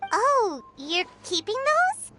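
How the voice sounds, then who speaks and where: A woman voicing a tiny, childlike, excitable fairy companion in a video game, squeaky and exclamatory. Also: takes it slowly, over loud music, exaggerated voice. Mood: amazed, teasing. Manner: speaks of self in third person, exclamatory.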